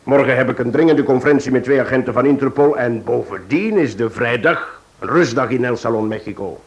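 Casting: male actor as composed chief inspector for radio play, mid-pitched, courteous, measured and firm. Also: highs cut off, natural voice. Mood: measured, planning. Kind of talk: radio drama acting.

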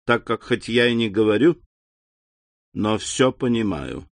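A man reading the lines of a phlegmatic, stiff English lord, slow and aloof in the dry sound of a close studio mic. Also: on the low side, animated, vocal fry, no room sound.

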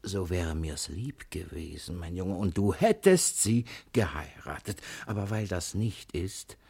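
A sly, domineering old father from a period radio comedy. His voice is low and husky, and he takes his time, probing and repeating short words, with a jealous temper underneath. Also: lively intonation, unhurried, darkish tone, heavily acted. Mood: feigned mildness. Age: older. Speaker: actor. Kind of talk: German radio play acting, period comedy.

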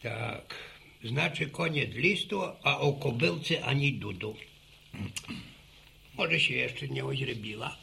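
A man's voice: medium pitch, slow, long pauses, bright, forceful tone, a little hiss or hum, full character voice. An actor playing a garrulous, muddled old village grandfather in a radio serial, stammering and repeating his words. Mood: grumbling. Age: older. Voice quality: creaky, gravelly. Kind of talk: Polish radio serial acting, rural family drama.

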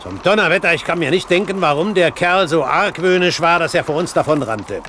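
Wry, commanding old pirate captain. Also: male voice, on the high side, expressive, brisk, bright, forceful tone. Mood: confident, commanding. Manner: grand, wry, authoritative, given to mild curses. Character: shrewd, commanding older pirate captain, a great-uncle. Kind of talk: 1970s German radio drama acting. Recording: 1970s radio play, background noise.

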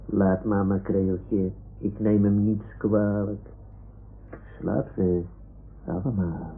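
Slaperige, krakende oude speelgoedleeuw. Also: male voice, on the low side, little pitch movement, slow pace. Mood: drowsy. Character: sleepy, grumbling old toy lion, forgiving friend. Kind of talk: 1960s-70s radio play acting. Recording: muffled, hissy old radio recording.

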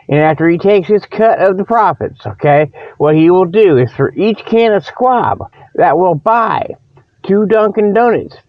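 A man's voice: sits high, no room sound, muffled recording. A man hosting a comic radio report in a folksy, meandering monologue, with a bit of a frog in his throat. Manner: folksy, colloquial, meandering, often losing the thread. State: slight frog in the throat from a lingering cold. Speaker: host of a humorous report show. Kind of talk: rambling comic radio monologue with mock sponsor pitches.

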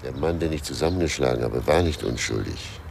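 A man's voice: rumbling low, brisk, a little mellow, slight background noise. An actor playing a brooding, short-tempered middle-aged ex-policeman who drinks, weary and terse, in a German radio crime drama. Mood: irritable. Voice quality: gravelly, husky.

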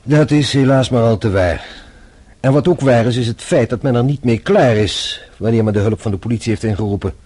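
A man plays a patient French police inspector in a radio drama. He speaks in a warm, husky voice, formal and measured, always politely probing. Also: medium pitch, close to an everyday voice. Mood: gravely probing. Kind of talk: radio play acting.

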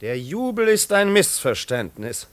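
An actor playing a cynical, melancholy marquis in a 1950s German radio drama, world-weary and imperious in manner. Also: male voice, high-pitched, sing-song, a little slow. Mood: gloomy, resigned. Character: jaded, cynical aristocratic marquis, male, melancholy, sardonic.

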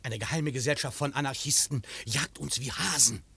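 A slightly husky excitable professor. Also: male voice, mid-range voice, moderate pace, no echo, heavily acted. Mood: grave. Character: excitable professor, secret agent. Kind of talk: radio play acting.

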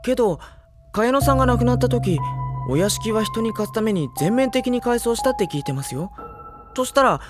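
An actor doing a polite calm high school boy, restrained, anime drama cd style, music behind. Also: brisk, lightly acted. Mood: reasoning. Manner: polite, restrained, matter-of-fact. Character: polite, level-headed teenage schoolboy, quietly reflective narrator. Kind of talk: Japanese audio drama acting and first-person narration. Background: background music.

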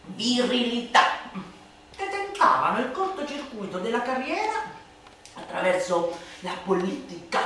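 A woman playing a sardonic, scornful satirical orator in a theatrical radio monologue, declamatory and emphatic, moving between hushed asides and loud outbursts. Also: mid-range voice. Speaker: actress performing a literary monologue. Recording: reverberant room, distant voice, faint noise.

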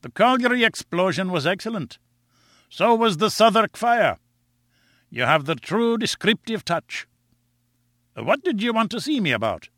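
Elderly Scottish newspaper editor, played by a male reader, avuncular and exclamatory, raised pitch, storybook dialogue. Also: sing-song, unhurried, long pauses, a bit bright, no room sound, studio quality, exaggerated voice. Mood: kindly, approving. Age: older. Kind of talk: audiobook character dialogue.

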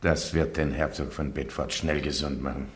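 An actor playing an imperious, dismissive bishop with dry sarcasm in a radio play. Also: male voice, rumbling low, fairly even intonation, moderate pace. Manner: dry, sardonic. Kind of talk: German radio play acting.

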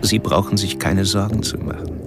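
Radio crime drama: male actor as calmly deranged killer, low gravelly voice, pedantic and taunting, music underneath. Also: close to an everyday voice. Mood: mocking, measured. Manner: formal, pedantic, halting, with whispered passages. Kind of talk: recorded taunting monologue in a radio play.

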